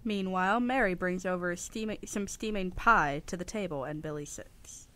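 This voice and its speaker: Lowish-voiced woman narrator. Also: normal speed, bright, forceful tone. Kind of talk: scripted narration of a radio play.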